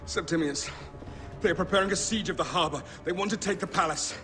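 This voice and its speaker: Actor as a high-pitched, fierce loyal warrior. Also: male voice, a little fast, loud music behind the voice, close to an everyday voice. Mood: urgent, defiant. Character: fierce, loyal warrior husband, urgent and earnest. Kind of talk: dramatic video game cutscene acting.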